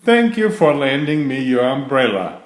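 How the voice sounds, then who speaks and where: A man voicing a fussy, hurried lady employer in a children's storybook, flustered and emphatic. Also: medium pitch, big pitch swings, natural voice. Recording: roomy echo.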